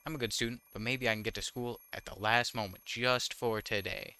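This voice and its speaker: Bright-voiced man reading a game aloud. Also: mid-range voice, fairly even intonation, a little fast, smooth. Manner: stumbling over words when reading. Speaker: gaming YouTuber, host. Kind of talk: reading visual novel text aloud with casual commentary.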